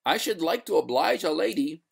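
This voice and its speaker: A narrator playing a firm, coolly courteous gentleman in a storybook, formal and measured, with a quiet gloat. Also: mid-range voice, animated, gravelly.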